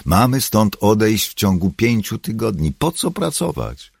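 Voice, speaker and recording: A man's voice: fairly low pitch, big pitch swings, measured pace, creaky, breathy edge, no echo, voice pushed up, heavily acted. A man voicing a disgruntled commune member in an audiobook, blunt and protesting.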